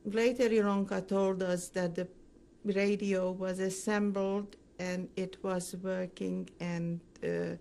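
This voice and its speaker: An older woman recounting her memories as a survivor in an oral history interview, speaking haltingly with frequent pauses mid-sentence. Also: medium pitch, little pitch movement, gravelly, a little mellow. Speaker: Holocaust survivor giving testimony.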